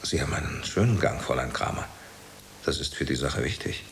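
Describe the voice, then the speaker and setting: An actor playing a jovial, flattering theatre director who talks down to a beginner with hesitant pauses and chuckles, in radio drama style. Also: male voice, extremely low pitch, background noise. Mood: flattering, paternal. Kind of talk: German radio drama acting.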